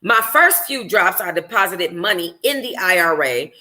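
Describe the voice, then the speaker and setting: A woman reading a first-person novel aloud informally as a book club host, in an expressive, conversational, slangy way. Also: on the low side, normal speed.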